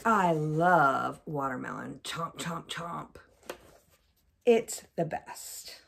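A woman voicing an excitable, melodramatic young crocodile from a children's picture book. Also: fairly low pitch, gravelly, exaggerated voice. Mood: delighted. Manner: dramatic, exclamatory, melodramatic. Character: excitable, panicky young crocodile who loves watermelon.

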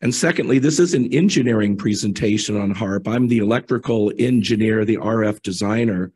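A middle-aged man speaks at a lectern microphone, explaining engineering work in an easygoing, conversational way. His voice sits fairly low and sounds husky, hoarse from the tail end of a cold. He pauses with fillers as he goes through his slides. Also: darkish tone. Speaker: electrical engineer presenting. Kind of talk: technical conference talk.

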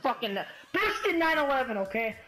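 A man's voice: very high voice, very animated, fairly quick, sharp tone. A young man making a prank phone call, taunting and swearing in a crude way. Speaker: prank caller. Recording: music laid over the voice.

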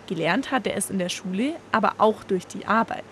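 A woman's voice: mid-range voice, a little fast, a bit bright, a little hiss or hum. Woman reading a news voice-over. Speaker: reporter.

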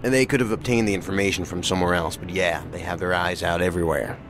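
An actor playing a seasoned, thoughtful investigator in an audio drama, measured and terse. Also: male voice, sits low, fairly even intonation, noisy background, lightly acted.

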